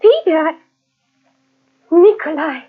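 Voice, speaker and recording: A woman's voice: very high-pitched, lively intonation, takes it slowly, soft background music, exaggerated voice. An actress playing a frightened, pleading unfaithful woman in old-time radio melodrama, imploring and breathless. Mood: desperate pleading. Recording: tinny, narrow-band old radio recording.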